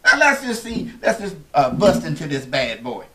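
High-voiced, animated man cooking at home. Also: moderate pace. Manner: animated, folksy and effusive. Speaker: guest cook. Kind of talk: casual cooking show chat. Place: home kitchen and dining room.

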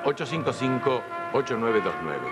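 A man's voice: mid-range voice, big pitch swings, normal speed. An older man with a Buenos Aires accent reading advertisements as a polished radio announcer. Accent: Argentine, Buenos Aires. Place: TV studio. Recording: loud music, old TV audio. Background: loud music.

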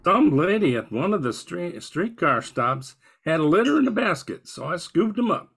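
Gravelly, plain-spoken family man, acted by a man. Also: high voice, sing-song, moderate pace, fairly bright tone, heavily acted. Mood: matter-of-fact. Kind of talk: amateur radio play acting.

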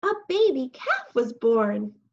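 A woman reading aloud and voicing an excited, gossip-loving farm hen in a storybook. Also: high-pitched, slow, heavily acted. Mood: amazed. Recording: dull lo-fi recording.

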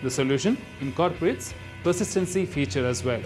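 A man's voice: a little high, animated, brisk, music in the background. A man reading scripted narration for a product demonstration.

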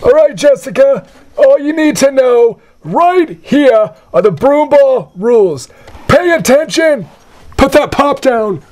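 Man acting as elderly grandfather, very high male voice, exasperated, admonishing, theatrical storyteller, comedy sketch. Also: expressive, slow, a little mellow, exaggerated voice. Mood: emphatic. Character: exasperated old grandpa reading a bedtime story.

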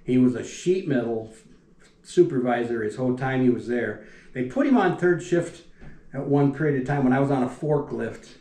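An older man, a retired factory welder, telling plant stories in a folksy, rambling way that often drops off quietly. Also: medium pitch, moderate pace. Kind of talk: casual storytelling. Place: dining room at a wooden table. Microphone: camera across the table. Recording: echoey room.

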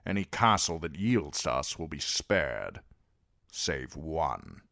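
A man voicing a stern, cold old lord who speaks in measured, authoritative tones. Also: extremely low pitch, very animated, creaky, bright, forceful tone, no room sound, clean studio sound, heavily acted. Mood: calculating.

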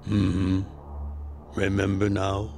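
Gravelly, low, calm yakuza elder. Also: male voice, slight background noise, full character voice. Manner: unhurried, measured, assured. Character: calm, knowing senior male yakuza officer. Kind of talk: dramatic video game cutscene acting. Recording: very loud music behind voice.

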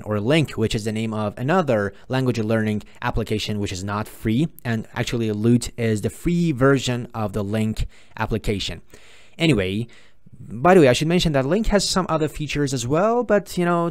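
Persian-accented man giving a software tutorial. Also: medium pitch, no room sound, clean studio sound. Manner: explanatory, repetitive, posing viewers' questions and answering them. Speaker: tutorial presenter. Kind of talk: step-by-step software tutorial, spoken informally. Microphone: close mic, screen-recording voice-over.

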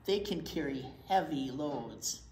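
An older woman talks and reads to small children with a very animated pitch range. Her delivery is simple and emphatic, in a roomy, echoing space. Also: slow. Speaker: teacher, children's storytime co-host. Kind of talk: children's storytime chat and read-aloud. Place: indoor library storytime set, seated. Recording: roomy echo, faint background noise.

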